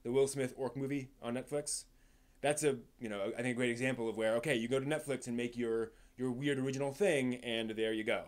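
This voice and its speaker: Male live stream host, mid pitch. Conversational commentary, stop-and-start phrasing, frequent restarts, leans on key words and then drops quieter. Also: steady tone. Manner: rambling, halting, often restarts sentences. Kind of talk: casual live stream commentary on film and anime news.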